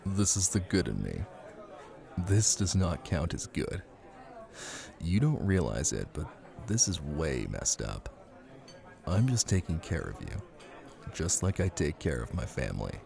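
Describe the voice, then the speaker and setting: A man playing a possessive, menacing Italian mafia husband in an intimate ASMR roleplay, speaking in a commanding way with deliberate pauses. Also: very deep voice, very animated, creaky, mellow, a faint crowd, heavily acted. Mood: brooding.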